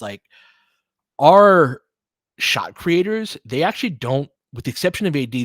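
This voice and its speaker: A man's voice: medium pitch, fairly bright tone, no echo. Man calling basketball casually, close dry sound. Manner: conversational, thinking aloud, slangy. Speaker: host and play-by-play commentator. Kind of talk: casual live basketball commentary and analysis, chatting with viewers.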